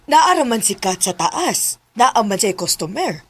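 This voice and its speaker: A woman's voice: fairly high pitch, sing-song, measured pace, slight background noise. A woman with a Filipino Bisaya accent acting a gossipy, knowing acquaintance in a radio drama. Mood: offhand, knowing.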